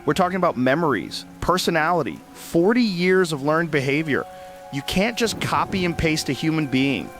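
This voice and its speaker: A man's voice: fairly high pitch, lively intonation, normal speed, a bit bright, soft background music. A man acting a curious, skeptical talk show host in an audio drama, probing in a conversational way.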